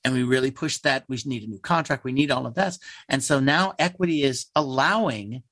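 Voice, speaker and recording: A man's voice: medium pitch, a little fast, a bit bright, no room sound, studio quality. A man speaking conversationally as a theater guest in an interview, telling things like a storyteller.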